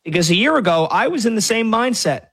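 A man with a fairly high voice hosts a sports talk radio show. He argues hard, stacking one rhetorical question on another to make his case. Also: expressive, quick pace, a bit bright, breathy edge. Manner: argumentative, fires off rhetorical questions.

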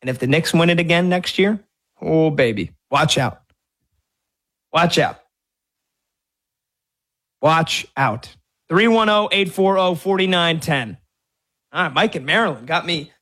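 A male sports radio host speaks in a slightly high, lively voice. He celebrates loudly and throws in shouted catchphrases, with the full clear sound of a studio mic. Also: measured pace, pauses often.

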